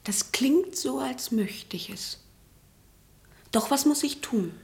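An actress playing an earnest, troubled young woman in a German radio play, resolute and at times hushed. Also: high voice, animated, slow pace, creaky, whispered, heavily acted.

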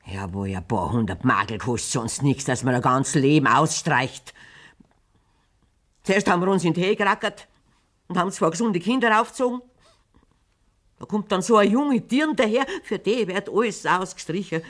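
An actress playing a worn-down but stubborn middle-aged farmer's wife with a Bavarian accent in a German radio play. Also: female voice, fairly low pitch, normal speed, close to an everyday voice. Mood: bitter, weary. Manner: stubborn, bitterly sarcastic.

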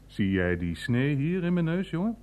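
Bluff old uncle, high swooping voice. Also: male voice, very animated, narrow, tinny audio. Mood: boastful storytelling. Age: older. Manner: mocking, blustering, drawn-out emphatic phrasing. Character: bluff, teasing, worldly old uncle, earthy and theatrical. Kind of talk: radio play acting.

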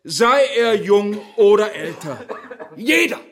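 A pompous Nazi functionary addressing villagers in a radio drama. His voice is raised high and bright, and he draws out his phrases slowly. The delivery is unctuous and rousing, with theatrical pauses. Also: big pitch swings, exaggerated voice. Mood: fervent, rousing. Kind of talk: rousing wartime speech in a radio play.

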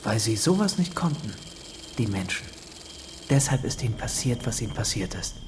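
An actor in a German radio drama playing a naive non-human pupil, hesitant, questioning and full of wonder. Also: male voice, medium pitch, big pitch swings, noisy background, lightly acted. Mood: pensive. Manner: halting, questioning.

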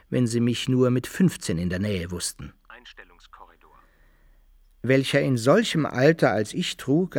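An actor playing a boastful adventurer who tells his own exploits with relish, in a husky voice, as radio drama narration. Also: mid-range voice, dry recording, clean studio sound. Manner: savouring, expressive.

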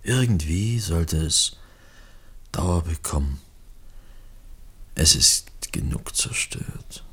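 Ein Schauspieler spielt in einem Hörspiel einen wehmütigen Mann, der nachdenklich und bedächtig mit rauer Stimme spricht. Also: male voice, low voice, expressive, a bit bright, slightly rough, exaggerated voice. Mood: wistful. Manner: pensive, deliberate. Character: wistful man mourning vanishing childhood streets. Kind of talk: reflective radio play monologue.

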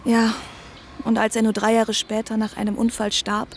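A woman's voice: a little high, completely flat delivery, moderate pace, slight background noise, natural voice. An actress playing a self-willed, educated, outspoken young woman in a conversational radio drama. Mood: admiring, animated. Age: young adult.

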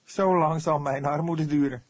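A man's voice: fairly high pitch, steady tone, moderate pace, breathy edge, no echo, voice pushed up. A man voicing a surly, scornful young police detective in an audiobook, snappish and incredulous. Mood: sceptical. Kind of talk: audiobook character dialogue.

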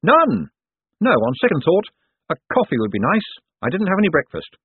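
A man voicing a brash, glib showman and con artist in an audiobook, with loud, cocky patter. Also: on the high side, wildly swooping pitch, normal speed, breathy edge, no echo, pitched above the performer's own voice, full character voice. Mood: glib, teasing. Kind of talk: audiobook character voice. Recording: thin phone-like narrow-band audio.

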